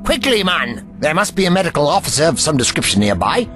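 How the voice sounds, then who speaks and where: An actor playing an eccentric, courteous but bossy British time traveller in an audio drama, with old-fashioned polite phrasing. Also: male voice, on the high side, sing-song, fast, over music. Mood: bossy, indignant.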